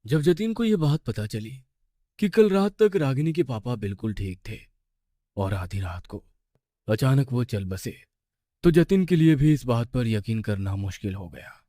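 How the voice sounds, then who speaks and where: Mellow male horror storyteller. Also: mid-range voice, animated, unhurried, no room sound, studio quality. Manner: suspenseful, dramatic phrasing. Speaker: storyteller, narrator. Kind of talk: scripted horror story narration.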